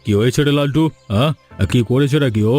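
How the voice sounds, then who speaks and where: A man as a mellow-voiced, brusque village master. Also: medium pitch, fast, lightly acted. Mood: stern, accusing. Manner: brusque, scolding, bossy. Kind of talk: Bengali fairy tale cartoon voice acting. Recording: music behind the voice.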